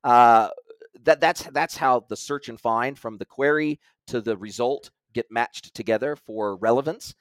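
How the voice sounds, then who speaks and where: A man in a casual interview who explains technical ideas at length, often restarting his sentences as he thinks aloud. His voice sits at an ordinary middle pitch. The sound is dry and close, with no room around it. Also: a little slow, no echo. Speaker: guest expert. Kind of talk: conversational interview.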